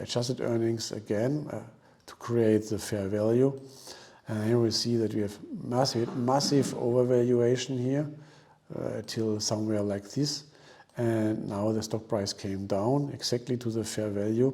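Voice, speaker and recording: A man hosting an investing video, explaining informally and a little haltingly as he walks viewers through a screen. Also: mid-range voice, warm tone. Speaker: host of a stock investing channel. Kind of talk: informal explanatory stock analysis commentary.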